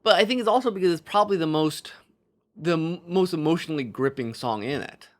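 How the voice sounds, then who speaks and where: Guy on a podcast talking movies, bit higher voice, real lively up and down, thinking out loud. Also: a little fast. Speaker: podcast co-host. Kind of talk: casual discussion of films and storytelling.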